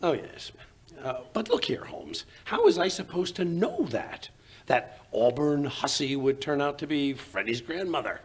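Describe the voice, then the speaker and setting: A man playing a flustered, bumbling English gentleman in an old-time radio drama, blustery and hesitant. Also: a little high, wildly swooping pitch, measured pace, husky, full character voice.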